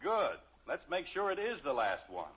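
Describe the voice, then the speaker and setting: Flustered American suburban dad, lightish voice, sarcastic sputter, muffled old radio sound. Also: male voice, a little high, huge pitch swings, normal speed, fairly bright tone, breathy edge, exaggerated voice. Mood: exasperated. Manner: sputtering, sarcastic, hesitant when cornered. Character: exasperated suburban father, easily flustered, fond of his children. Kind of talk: 1950s radio sitcom acting.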